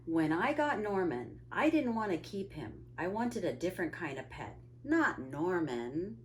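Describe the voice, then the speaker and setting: A woman reading a picture book aloud to children with expressive, drawn-out emphasis and little asides to her listeners. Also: fairly low pitch, slight background noise. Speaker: storytime reader, teacher.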